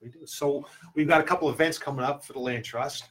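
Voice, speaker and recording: A man's voice: mid-range voice, fast, a bit bright, poor audio. A sixty-year-old man hosting a casual, chatty interview. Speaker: interview host at a gym. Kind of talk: casual chat.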